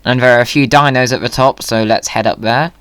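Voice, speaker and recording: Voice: medium pitch, steady tone, brisk, a bit bright. A whimsical, playful video host giving casual commentary and chat during a game.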